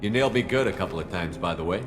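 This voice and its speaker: A man playing a steady, decisive military squad commander in a video game, speaking plainly and directly. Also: deep voice, very fast, over music. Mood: concerned, curious.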